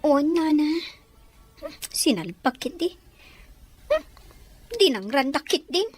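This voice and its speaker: A woman's voice: high voice, very animated, slow pace, faint music behind, exaggerated voice. A woman playing a young, sensitive, playful girl in an audio drama. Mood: hurt, sulky.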